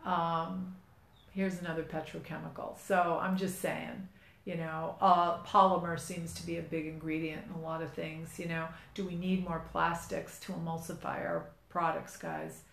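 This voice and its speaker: Woman, middle-aged, low voice, earnest and rambling, casual podcast chat, roomy echo. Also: level tone, normal speed, gravelly, fairly bright tone, slight background noise. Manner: earnest, persuasive, rambling with many asides. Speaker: skin care company founder and podcast host. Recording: echoey room.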